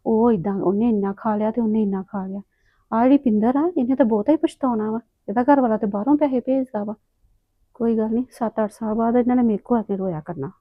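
A woman's voice: fairly high pitch, phone-quality sound, natural voice. An actress playing a meddling older woman who lectures a younger wife with stern advice. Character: meddling elder woman giving unwanted advice.

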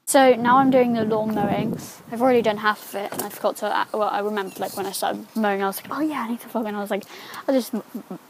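Teen girl vlogger, croaky, rambling chat, phone mic, faint noise behind. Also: mid-range voice, steady tone, fast, hardly any pauses. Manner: chatty, rambling, lots of "like". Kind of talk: casual vlog. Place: bedroom, then back garden. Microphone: phone held at arm's length.

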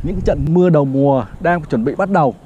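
A man's voice: on the high side, brisk, warm tone, a little hiss or hum. A middle-aged man on a city rooftop with a lapel mic, chatty, walks through a product review step by step with frequent fillers. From Vietnam. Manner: chatty, explains step by step, fills with "đó" and "vâng". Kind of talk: casual product review vlog. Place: rooftop terrace outdoors in a city. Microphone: clip-on lapel mic. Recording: slightly dull lo-fi outdoor sound.

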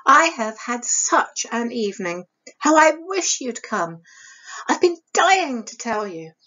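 A woman voicing a passionate, restless young woman in an audiobook, with gushing, dramatic emphasis and drawn-out words. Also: a little high, fairly even intonation, muffled recording, voice pushed up, close to an everyday voice. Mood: excited, enraptured. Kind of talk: audiobook character voice.

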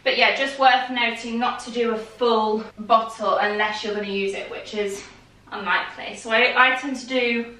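A woman's voice: on the high side, fairly even intonation, normal speed, pushed and bright. A young British woman chatting casually as she cleans and decorates her living room, recorded on a camera across the room. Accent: British English. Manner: chatty and upbeat, easily startled. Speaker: home vlogger. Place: living room at home, indoors. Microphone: camera mic from across the room. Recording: roomy, distant camera sound.

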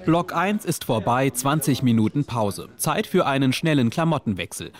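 A man narrating a television documentary in calm, even scripted voice-over. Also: mid-range voice, normal speed, crowd noise.

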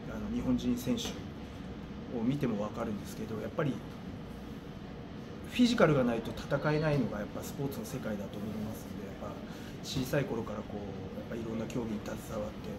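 A middle-aged man giving interview answers as a gym coach, thinking aloud with hesitant pauses. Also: medium pitch. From Japan. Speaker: gym owner and martial arts coach. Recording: clearly noisy room ambience.

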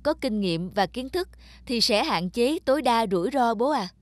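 A woman's voice: a little high, expressive, normal speed, no echo, clean studio sound, close to an everyday voice. A woman playing an earnest, determined young male fish farmer in a cartoon. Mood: stubborn resolve. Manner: earnest, resolute. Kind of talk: cartoon dub acting.

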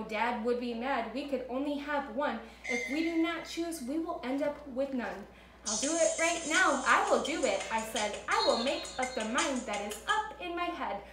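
A young woman with a high voice reads a children's story in playful, singsong rhythm. She sounds like a preschool storyteller riding a hip-hop beat. The room around her voice is echoey. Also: fairly bright tone. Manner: playful, singsong rhyming delivery. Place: small room indoors, at a table.

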